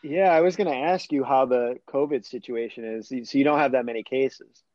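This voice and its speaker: A man's voice: medium pitch, normal speed, a little mellow, no room sound. American guy in his 30s hosting a laid back interview, close dry sound, kinda dull audio. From United States. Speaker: interview host. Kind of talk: casual interview.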